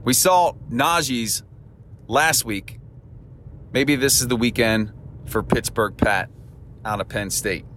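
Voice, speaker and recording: A man hosting a solo sports podcast, talking through upcoming games in an upbeat, chatty way, his voice temporarily husky. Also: medium pitch, takes it slowly, pauses often, a bit bright, slight background noise. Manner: upbeat, chatty analysis with a cheerful sign-off. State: voice temporarily husky and lower than his norm. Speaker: podcast host.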